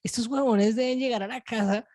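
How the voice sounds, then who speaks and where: A woman's voice: on the high side, fairly even intonation, a little fast, darkish tone. A woman with a Colombian accent co-hosting a casual podcast chat in blunt slang, swearing freely. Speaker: podcast co-host. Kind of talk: casual chat about pop culture.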